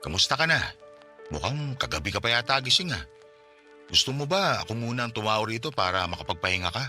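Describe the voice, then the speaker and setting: A man's voice: mid-range voice, big pitch swings, fast talker, sharp tone, faint music behind. A man playing a fiery, loyal Filipino friend. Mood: caring. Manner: flares into angry outbursts. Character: loyal male friend and cousin, bank security guard, hot-tempered about injustice. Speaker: voice actor in radio drama. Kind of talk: Tagalog radio drama acting.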